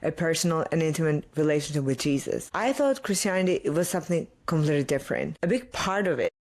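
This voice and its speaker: Greek accent, native Greek speaker, solo podcast host, earnest, questioning, rant-like, mid-pitched voice. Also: lively intonation, quick pace. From Greece. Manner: earnest, questioning, ranting with rhetorical questions and frequent "like". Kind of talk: casual solo confessional talk.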